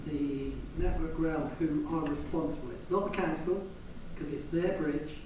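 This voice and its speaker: English councillor, hesitant, answering from the panel in a reverberant hall, picked up from afar. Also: male voice, a little high, moderate pace, noisy background. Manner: hesitant, searching for words. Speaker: town councillor. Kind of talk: answering questions at a public meeting. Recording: echoey hall, distant mic.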